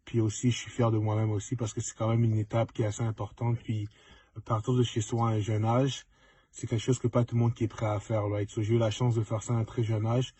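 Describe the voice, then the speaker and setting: A man's voice: lowish voice, little pitch movement, fairly quick, mellow, slightly rough. A young man giving a casual, reflective testimonial to students and mixing in English words. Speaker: former student, pro football player.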